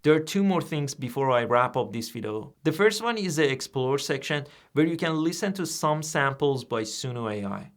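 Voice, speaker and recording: Man explaining software step by step. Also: medium pitch, normal speed, hardly any pauses, soft background music. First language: Persian. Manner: plain, steady step-by-step explaining. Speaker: tutorial host. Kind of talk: screen-recorded software tutorial.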